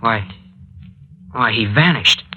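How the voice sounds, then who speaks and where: A man's voice: medium pitch, slow, breathy edge, narrow, tinny audio, faint music behind. An actor playing an earnest, bold young American man with an urgent manner in a 1940s radio drama. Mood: earnest, uneasy. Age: young adult.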